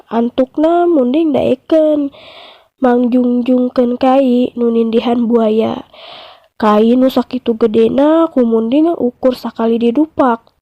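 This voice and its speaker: A woman teacher with a Sundanese accent speaks slowly in a high voice, explaining and narrating for young children. The recording is dry and slightly dull. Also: fairly even intonation, dry recording. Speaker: primary school teacher. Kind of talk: lesson and storytelling narration for children.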